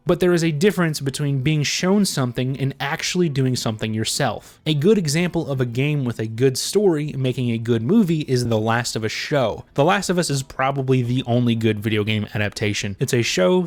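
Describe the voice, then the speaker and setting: A man delivers casual, opinionated commentary as a video essay host. His voice sits at a middle pitch in a very dry recording. He is blunt and sarcastic, swearing freely. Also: no echo. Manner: blunt, sarcastic, heavily profane.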